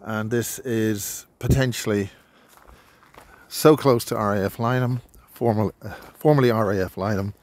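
A man's voice: lowish voice, fairly even intonation, moderate pace, a little mellow. An older man hosting a casual video blog, talking in a rambling, hesitant way with many fillers. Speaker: host. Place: indoor studio, then outdoors by a road.

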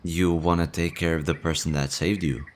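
A man voicing a nervous low-voiced slime boy. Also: steady tone, natural voice. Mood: worried. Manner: nervous, stammering, quick to worry. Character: nervous young male slime monster, timid and fretful. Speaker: voice actor. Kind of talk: audio roleplay.

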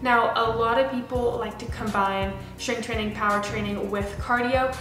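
A young woman speaks in a fairly high voice, explaining training casually and directly like a fitness coach. She is recorded in a bare, echoey room, with music underneath. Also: steady tone, moderate pace. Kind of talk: explanatory talking-head fitness advice to camera. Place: small bare room indoors with a window. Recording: roomy indoor echo, music underneath. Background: background music.

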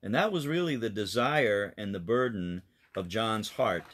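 A man, a pastor, reading a children's story aloud in a plain, explanatory way with deliberate phrasing and simple asides. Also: on the low side, animated, a bit bright, a little hiss or hum.

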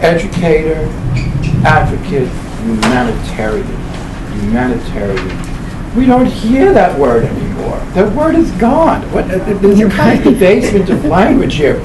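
A man's voice: high-pitched, big pitch swings, unhurried. A man in his seventies giving an informal public book talk as a professor, emphatic and fond of repeating phrases. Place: small indoor event room. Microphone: camera a few metres away. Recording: echoey room, distant mic, background hum.

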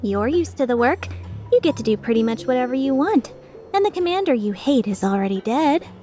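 Woman playing a high, teasing mercenary villainess. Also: animated, a little fast, dark tone, soft background music. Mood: mocking, coaxing. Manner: teasing, mock-sincere, sardonic. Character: playful, taunting, mischievous young female mercenary villain. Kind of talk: fan dub of game dialogue.

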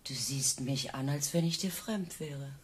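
An actress playing an intimate, teasing, watchful wife in a radio play, with a husky voice. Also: female voice, very deep voice, measured pace, exaggerated voice. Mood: intimate, probing.

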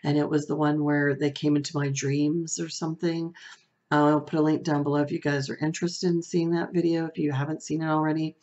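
A middle-aged woman with a soft, comforting voice, vlogging to camera from a room at home, reflective and hesitant, with fillers and restarts. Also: deep voice, flat delivery, a little fast, highs cut off. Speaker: beauty vlogger. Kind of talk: casual talk to camera. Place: small room at home, indoors.

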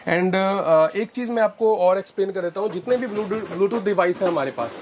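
A man's voice: sits high, normal speed, noisy background. Young man giving a warehouse sales tour. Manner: explanatory sales talk with many fillers. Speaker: warehouse business owner. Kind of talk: product walkthrough. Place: large indoor warehouse with shelves. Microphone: lapel mic.

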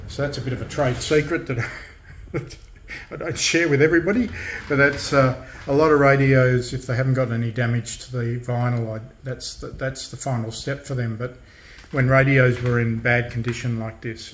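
A man hosting a casual hobby restoration demonstration, speaking unscripted in a halting, matter-of-fact way. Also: medium pitch, a little hiss or hum. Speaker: host of a hobby radio restoration channel. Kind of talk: casual hands-on demonstration talk. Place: indoors at a workbench in a room.

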